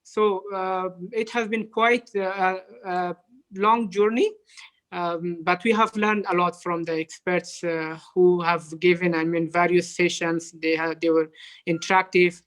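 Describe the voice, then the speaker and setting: A man with an Afghan accent giving unscripted interview answers as a guest, courteous, halting and hesitant. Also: sits high, little pitch movement, fairly bright tone. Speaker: interview guest, development professional.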